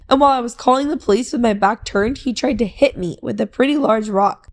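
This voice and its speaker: A woman's voice: on the high side, vocal fry. A woman co-hosting a casual podcast, reading a long online post aloud in a playful, easygoing way. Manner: playful, reads posts aloud at a steady clip. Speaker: podcast co-host.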